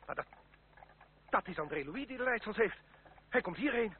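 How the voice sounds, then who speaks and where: An actor playing a brave, commanding French marquis with an imperious, exclamatory delivery in an old radio drama. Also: male voice, sits high, lively intonation, moderate pace. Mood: tense, urgent. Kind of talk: vintage radio drama acting. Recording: noisy, tinny old radio play recording.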